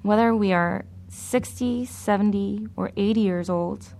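A woman preaching a sermon in a slow, measured, deliberate way. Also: mid-range voice, a little mellow, a little hiss or hum.

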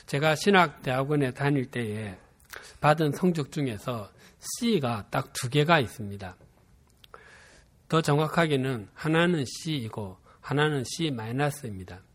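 A man in his fifties from Busan with a Gyeongsang dialect accent, preaching a sermon and telling a personal story in a measured, earnest way. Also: medium pitch. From Busan, South Korea. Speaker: pastor.

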